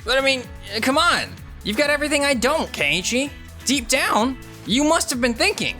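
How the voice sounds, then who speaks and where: A frustrated young office worker, acted by a man for a manga dub, with music underneath. The delivery is emotional and very lively, with a bright edge and a defensive, insecure streak. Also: very high voice, moderate pace, exaggerated voice. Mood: flustered, insecure. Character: frustrated, outspoken, insecure male office salesman, young adult. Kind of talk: English manga dub acting.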